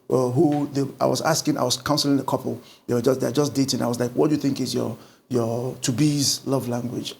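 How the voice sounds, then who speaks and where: A middle-aged Nigerian man, a pastor talking through a book in an animated conversational way, recorded on a lapel mic in an indoor studio. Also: medium pitch, creaky, slight background noise. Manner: animated storytelling with lively gestures. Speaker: pastor and guest.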